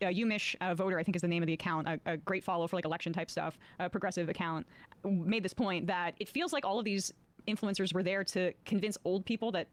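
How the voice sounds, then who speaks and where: Woman on a political talk show, quick bursts of speech, halting, hedges a lot, casual. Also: lowish voice. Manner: halting, hedging delivery.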